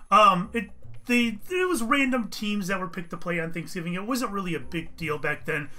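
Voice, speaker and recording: A man hosts a casual podcast with a bright, forceful voice and an energetic, joking delivery. Faint music plays quietly behind him. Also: high-pitched. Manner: energetic, joking, self-correcting. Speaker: podcast host.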